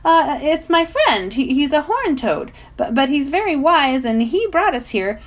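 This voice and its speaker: An anxious but plucky young girl from a children's storybook, played by a woman reader. She talks nervously in a raised, animated voice, trying hard to sound reasonable. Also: sits high, a little slow, voice pushed up.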